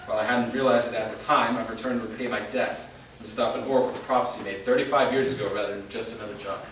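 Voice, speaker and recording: Male actor as resolute half-vampire warrior in a fantasy stage reading, measured delivery, mid pitch, distant mic. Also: fairly even intonation, fairly bright tone, natural voice. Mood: grave, resolute. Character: wounded male part-vampire fighter, grave and resolute. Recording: echoey room, distant mic, faint noise.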